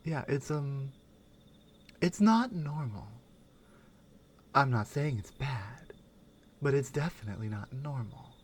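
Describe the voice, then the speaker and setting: A woman playing a strong, wry, skeptical Nordic warrior companion in a soft, intimate ASMR roleplay, musing with pauses and murmured asides. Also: rumbling low, animated, slightly rough, exaggerated voice. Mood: concerned, wry.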